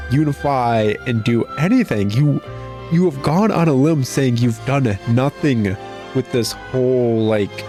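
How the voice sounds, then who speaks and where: An exasperated man gives casual video game commentary over a bed of music. His voice sits at an average male pitch. He complains bluntly and sarcastically as he critiques what is on screen. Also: a little mellow. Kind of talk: casual game critique commentary, reading cutscene dialogue aloud.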